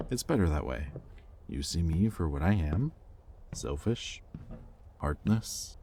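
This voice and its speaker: A man playing a possessive, conflicted demon in an intimate audio roleplay, with halting, sardonic delivery and secret tenderness. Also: rumbling low, mellow, a little hiss or hum, exaggerated voice. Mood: bitter, conflicted. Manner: intimate, halting, sardonic, with sighs and muttered curses. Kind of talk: dramatic audio roleplay addressed to the listener.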